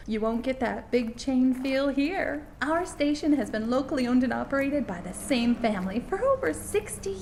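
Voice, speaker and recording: A woman's voice: a little high, slight background noise. A woman playing a folksy small-town documentary host, gushing politely in tour-guide patter, as in a comedy mockumentary. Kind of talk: scripted mockumentary narration and interviews.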